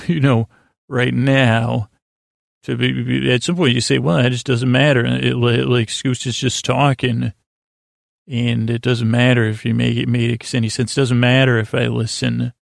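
Very creaky-voiced man, meandering bedtime podcaster. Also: medium pitch, no echo, studio quality. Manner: halting, meandering, self-interrupting, gently absurd; soothing, lulling delivery. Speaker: podcast host. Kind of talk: rambling bedtime monologue to help listeners sleep.

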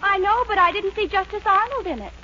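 An actress playing a devoted, dramatic sweetheart in a 1940s radio comedy. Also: female voice, extremely high pitch, animated, a little fast, sharp tone, full character voice. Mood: adoring. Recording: muffled, very noisy old radio recording.

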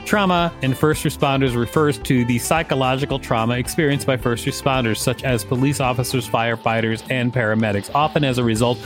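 A man's voice: medium pitch, steady tone, brisk, hardly any pauses. An American man with a mid-range voice reads an encyclopedia article aloud as a podcast host. Faint guitar music sits behind him, and his reading mixes with casual banter.

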